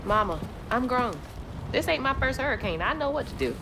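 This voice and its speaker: A woman's voice: high voice, big pitch swings, brisk, background noise. An actress playing a headstrong, sentimental young woman with a Southern US accent in a game cutscene, casual and sardonic. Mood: exasperated. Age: young adult. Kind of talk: naturalistic game cutscene acting.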